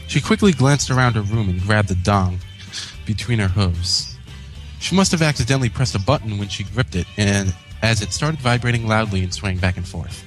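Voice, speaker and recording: A man's voice: on the low side, expressive, normal speed, soft background music, poor audio. A man reading a scripted story aloud as a co-host and narrator. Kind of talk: scripted fan fiction narration.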